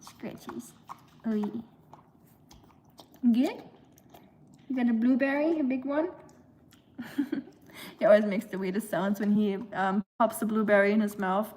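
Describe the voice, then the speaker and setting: A woman's voice: medium pitch, fairly even intonation, unhurried, pauses often, a little mellow. A young woman from Germany with a German accent, chatting casually with livestream viewers while she cooks at home, giggling and cooing at her dog. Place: home kitchen.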